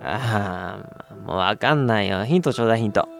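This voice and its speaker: A man's voice: fairly low pitch, animated, fairly quick, vocal fry, fairly bright tone, faint music behind. An actor playing an impatient, easily puzzled young man with casual, slangy, whiny speech in a radio drama. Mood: frustrated, impatient. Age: young adult. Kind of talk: radio drama dialogue with first-person narration.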